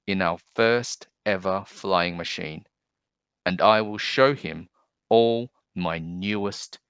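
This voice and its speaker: A man reading aloud to children as a storyteller, with deliberate, expressive phrasing. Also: fairly low pitch. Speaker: storybook reader, host. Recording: dull, roomy home recording.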